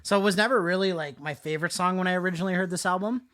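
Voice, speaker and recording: A man's voice: sits high, rapid-fire, fairly bright tone. A man with a nasal voice hosting a casual music chat in an informal, jokey, slangy way. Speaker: podcast host discussing music albums.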